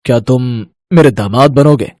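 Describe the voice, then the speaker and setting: A man's voice: mid-range voice, moderate pace, darkish tone, dry recording, studio quality, close to an everyday voice. Man acting an assured, genial older boss. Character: genial, plain-spoken older company boss and father.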